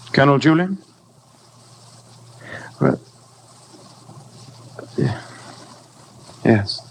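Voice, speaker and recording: A very deep, creaky-voiced husband carries guilt and anguish in a grave, halting delivery. It is golden-age radio drama on a faintly noisy old recording. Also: male voice. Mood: tense, controlled. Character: anguished husband confessing a killing, brooding and guilt-ridden. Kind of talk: 1950s radio drama acting.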